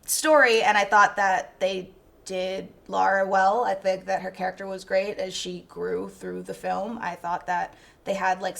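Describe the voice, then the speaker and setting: A woman's voice: mid-range voice, creaky. A woman on a film review panel, sharing her opinions in an informal, chatty way. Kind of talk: casual film review chat.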